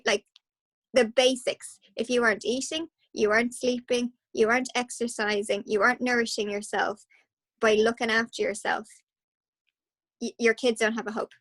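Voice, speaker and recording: An Irish woman speaking as a guest hypnotherapist, passionate and animated, with quick restarts. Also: on the high side. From Ireland. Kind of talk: casual interview talk.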